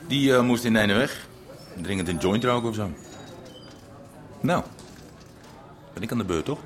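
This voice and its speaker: A man's voice: lowish voice, expressive, moderate pace, natural voice. A man playing a menacing underworld thug in a radio drama, mocking, threatening and falsely polite. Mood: smug. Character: menacing Amsterdam underworld thug bullying a squatter.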